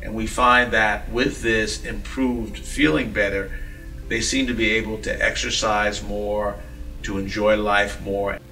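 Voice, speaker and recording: A male clinician gives an interview testimonial in a low but sharp, bright voice. He sounds some way from the microphone, with faint music. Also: flat delivery, measured pace, far from the mic, low-quality recording.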